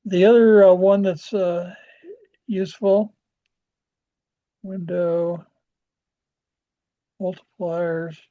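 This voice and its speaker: An American man giving an informal software walkthrough as a club trainer, halting and often trailing off as he rambles along. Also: sits high, flat delivery. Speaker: presenter training radio club members. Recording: dull, lo-fi screen-share audio.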